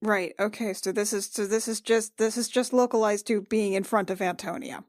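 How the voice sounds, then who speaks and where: A woman's voice: medium pitch, fairly even intonation, moderate pace, no echo, studio quality, close to an everyday voice. A woman playing a sarcastic, foul-mouthed reluctant wife, flustered and repeating herself, in a tabletop game. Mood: uneasy.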